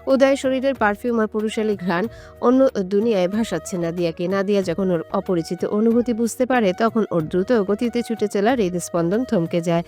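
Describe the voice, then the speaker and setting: A woman's voice: medium pitch, warm tone. Woman narrating a romantic story over music. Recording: music under the voice. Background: background music.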